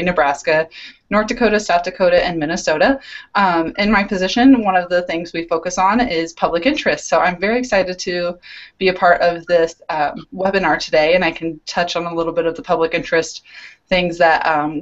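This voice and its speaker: A young woman hosting a webinar on a video call, introducing it in a friendly way with frequent um fillers. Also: lowish voice. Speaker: student organization leader hosting a webinar. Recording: dull lo-fi video call audio.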